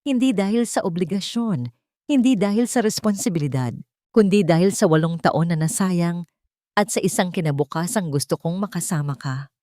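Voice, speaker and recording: A woman's voice: lowish voice, moderate pace, warm tone, no echo, natural voice. Romance story lead, read by a woman: remorseful father and CEO, earnest, emotional, pleading, in a dry recording. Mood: earnest, tender.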